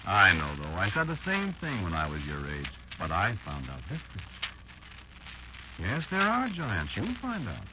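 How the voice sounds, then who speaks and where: An actor playing a bitter, elderly insect lecturing a child in a slow, portentous old-time radio drama monologue. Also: male voice, deep voice, wildly swooping pitch. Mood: patient, insistent. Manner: slow, deliberate, portentous storytelling with dramatic pauses. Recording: muffled, noisy old radio show recording.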